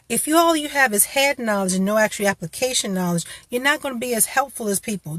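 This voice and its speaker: An encouraging woman gives career advice in a relaxed, chatty way, often stopping to rephrase. Her voice sits in a middle range. The sound is dry and close, with little room echo. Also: mid-range voice. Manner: encouraging, conversational, with many restarts. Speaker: career coach host. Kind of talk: casual motivational talk to camera.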